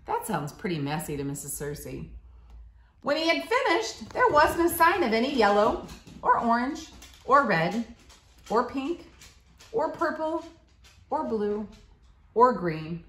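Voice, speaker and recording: Expressive woman reading aloud, lively pitch. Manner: expressive, simple clear teacherly phrasing. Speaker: school staff member reading aloud to a child. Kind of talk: picture-book read-aloud with questions to a child. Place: living room, seated on a leather couch. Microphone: camera a short distance away. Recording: roomy, echoey home recording.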